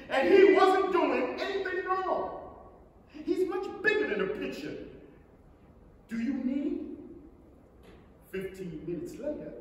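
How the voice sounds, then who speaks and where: Male stage reader, slow dramatic delivery with lively pitch, distant in a reverberant theater. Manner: deliberate, dramatic emphasis. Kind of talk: dramatic staged reading of a novel. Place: large indoor theater stage. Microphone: far camera. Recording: very echoey theater hall, distant mic, hiss.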